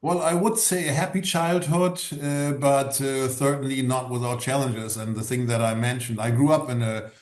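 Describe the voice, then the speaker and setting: A middle-aged man with a German accent, answering interview questions in a halting way, full of "you know" and restarts. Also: mid-range voice, fairly even intonation, a little mellow. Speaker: guest, former executive. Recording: roomy echo.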